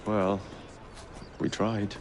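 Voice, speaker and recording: A man's voice: on the low side, flat delivery, drawn-out words, vocal fry, over music, full character voice. A man acting a cocky, flippant young Victorian assassin, delivering sarcastic lines in a game cutscene. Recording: noisy game stream capture.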